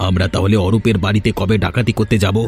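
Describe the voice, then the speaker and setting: Man voicing a fast, low-voiced bandit chief. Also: steady tone, darkish tone, natural voice. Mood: blustering. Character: boastful, brutal bandit gang leader. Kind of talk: cartoon voice acting. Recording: music behind the voice.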